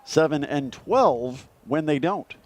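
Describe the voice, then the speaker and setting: A man's voice: a little high, lively intonation, takes it slowly. A man with an American accent giving live baseball commentary and breaking down the plays.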